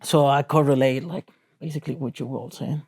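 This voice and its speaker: A man's voice: medium pitch, fairly even intonation, brisk, darkish tone, breathy edge. A man in his forties from Rica, a Spanish speaker, talking earnestly and plainly as a guest in a discussion. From Costa Rica. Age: 40s. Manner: earnest, plainspoken, loose grammar. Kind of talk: casual discussion.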